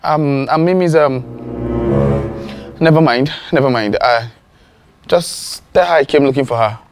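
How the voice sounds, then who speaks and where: A man acting as a polite, hesitant Nigerian visitor in a film drama, speaking haltingly. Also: mid-range voice, lightly acted. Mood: hesitant, evasive. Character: polite, hesitant male visitor seeking a woman. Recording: music playing under the dialogue.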